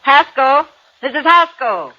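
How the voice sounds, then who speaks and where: An actress playing a sharp-tongued, spoiled young society niece in a 1950s radio drama, haughty and sarcastic. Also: female voice, high voice, animated, slow, heavily acted. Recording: dull old radio recording.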